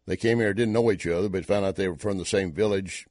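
Indignant low-voiced Texan host. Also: male voice, steady tone, quick pace, darkish tone, no room sound, clean studio sound. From Texas. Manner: indignant, rising emphatic questions. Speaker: talk show host. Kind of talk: opinion commentary.

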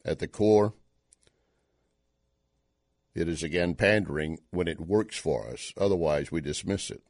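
Texan male talk host, deep, dry studio sound, indignant commentary. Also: unhurried, darkish tone, no room sound. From Texas. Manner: indignant, rising emphatic questions. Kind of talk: opinion commentary.

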